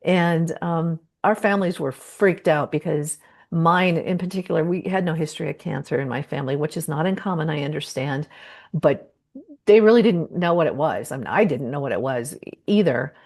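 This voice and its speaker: A woman speaking as a guest patient advocate in an online discussion, giving a candid, reflective personal account in a halting, self-interrupting way. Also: low-pitched, a little mellow.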